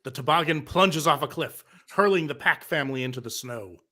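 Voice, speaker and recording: Male narrator, scripted story reading, slightly high pitch, bright forceful tone. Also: brisk. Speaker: narrator at a table read.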